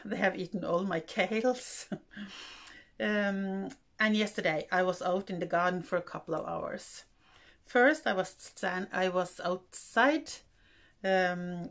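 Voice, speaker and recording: An older woman from Norway with a Norwegian accent, chatting to camera in a halting way as she searches for English words, in a wooden room indoors. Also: medium pitch. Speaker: vlogger. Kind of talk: casual chat to camera.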